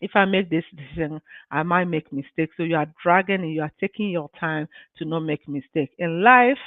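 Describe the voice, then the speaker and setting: A woman's voice: sits low, brisk, no room sound. A woman from Ghana, a business mentor giving an earnest, encouraging motivational talk on a live stream, often saying "you know". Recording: dry tinny phone-like line.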